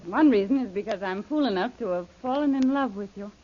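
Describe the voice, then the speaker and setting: Woman acting a scheming radio-serial villainess, swooping and husky. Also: medium pitch, lively intonation, brisk, lightly acted. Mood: seductive, coaxing. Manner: coquettish, theatrical, imperious. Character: audacious scheming villainess, coolly seductive adventuress. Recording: dull, lo-fi old radio recording.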